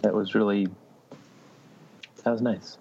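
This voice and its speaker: A man's voice: on the low side, level tone, a little slow, creaky, a little mellow, dull audio, a little hiss or hum. A man chatting casually as a co-host on a podcast. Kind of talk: casual chat.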